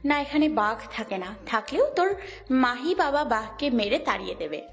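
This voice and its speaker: A woman acts out a bashful young wife and tender mother in an audio love story. Her voice is fairly high and quite creaky, with music behind it. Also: sing-song, normal speed. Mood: gentle, reassuring. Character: shy, gentle young wife and caring mother. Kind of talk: romantic audio drama acting.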